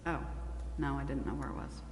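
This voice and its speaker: A woman's voice: extremely low pitch, fairly quick. A woman speaking casually as a committee member at a public meeting. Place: large echoey meeting room, council chambers. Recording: echoey hall, background hiss.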